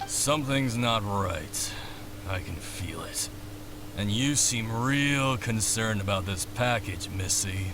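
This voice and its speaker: An actor playing a curt, suspicious, threatening bandit boss in a video game, speaking deliberately. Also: male voice, fairly low pitch, animated. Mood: menacing. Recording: game capture with clear background noise.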